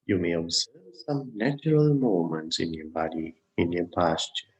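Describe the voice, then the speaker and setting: A man leading a guided meditation as a teacher, speaking gently and unhurriedly in short phrases with long pauses. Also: low voice, lively intonation. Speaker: Buddhist monk, meditation teacher. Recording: dull, muffled lo-fi sound.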